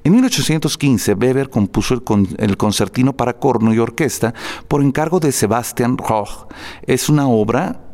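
A man's voice: medium pitch, normal speed, darkish tone. A man presenting spoken program notes before a classical concert, reading prepared text with fillers and restarts.

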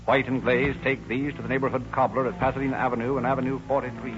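A grave American narrator of a vintage radio police drama, voiced by a man. He reads formal scripted prose at a medium pitch. Music plays prominently behind him on a dull old recording. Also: little pitch movement, fast, lightly acted. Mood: measured.

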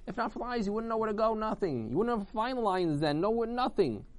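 Man voicing a high, indignant, boastful body part. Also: animated, fast talker, no echo. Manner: shouting, scornful, argumentative. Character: boastful indignant talking body parts, legs and hands, loud.

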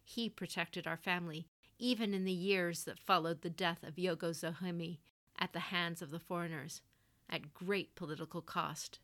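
A woman's voice: fairly low pitch, fairly even intonation, moderate pace, fairly bright tone, close to an everyday voice. A woman plays an older samurai matriarch and general in an audio drama story. She is stern and severe, speaking in blunt, clipped statements with firm command and formal gravity. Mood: grave, formal.

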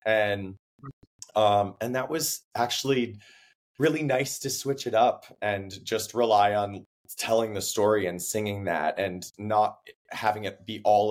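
Young male guest, hoarse and low, animated storyteller. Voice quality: deeper than usual. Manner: animated storytelling, acts out his own quotes. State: hoarse from laryngitis. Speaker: singer-songwriter guest. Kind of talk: casual interview chat.

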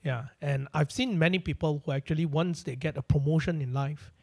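A man's voice: on the high side, a little fast, vocal fry. A man, hosting a casual interview in a conversational, affirming way. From Malaysia. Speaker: podcast host.

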